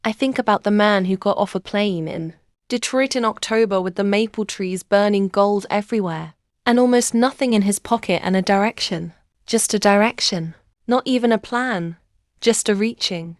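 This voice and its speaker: A woman reading a first-person bedtime story aloud in a slow, gentle, reflective way. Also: mid-range voice, no echo, clean studio sound. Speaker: narrator. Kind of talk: scripted first-person sleep story narration.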